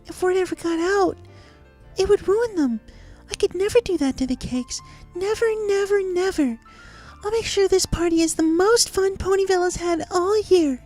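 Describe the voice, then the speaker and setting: A woman's voice: extremely high pitch, moderate pace, mellow, soft background music. A woman playing a desperately upbeat, haunted cartoon pony who reads out her diary with earnest, exaggerated cheer. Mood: troubled, earnest. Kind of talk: dramatized diary reading of fan fiction.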